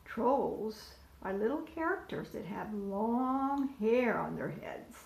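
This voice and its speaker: A woman's voice: on the high side, very animated, slow pace, vocal fry. A woman volunteer reading a picture book aloud to preschoolers, asking them questions and pointing out the pictures. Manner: asks young listeners questions and points to pictures. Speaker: volunteer storyteller. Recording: echoey room.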